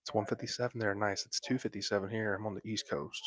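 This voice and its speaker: A young man whispering softly into a close microphone while hosting a laid-back late-night livestream from bed at home. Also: on the low side, steady tone, quick pace, dry recording. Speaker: livestream host.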